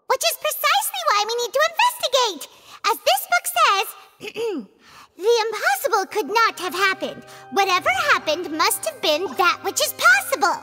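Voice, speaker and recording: A woman voicing a small, excitable, childlike fairy companion in a video game, with a squeaky voice and a cheeky, exclaiming manner. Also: normal speed, over music. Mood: eager, proud. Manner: refers to herself by name, exclaiming. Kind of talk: animated video game voice acting.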